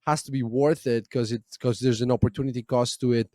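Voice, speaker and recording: A man's voice: medium pitch, steady tone, a little fast, mellow, no echo. Podcast host man, dry close sound. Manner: long winding questions with restarts. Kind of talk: interview questions.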